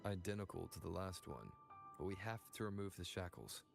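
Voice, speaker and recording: A man voicing a calm, resolute warrior in a fantasy video game, with game music around him. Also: low-pitched, brisk, vocal fry. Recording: game audio under loud music. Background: loud game music.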